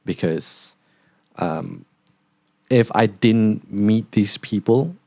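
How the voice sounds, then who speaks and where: Young male podcast host, Singaporean accent softened toward neutral, low voice, loose filler-heavy delivery. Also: very slow, no room sound. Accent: Singaporean, partly neutralized toward Australian. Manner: rambling, lots of "like" fillers. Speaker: podcast host, international student. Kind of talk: casual podcast monologue.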